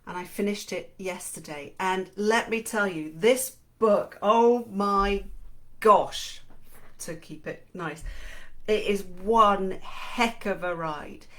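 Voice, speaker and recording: A woman's voice: mid-range voice, slow pace. A middle-aged woman with an English accent, co-hosting a live-streamed book show in a chatty, self-deprecating way, often laughing. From England and Switzerland. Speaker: podcast co-host. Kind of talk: live-streamed casual chat.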